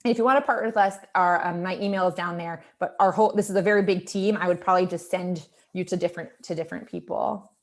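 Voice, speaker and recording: A woman doctor whose home language is Lithuanian presents on a video call panel, earnest and quick to restart her sentences. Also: lowish voice, steady tone. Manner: earnest, strings questions together with restarts. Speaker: emergency physician presenting for a hospital team.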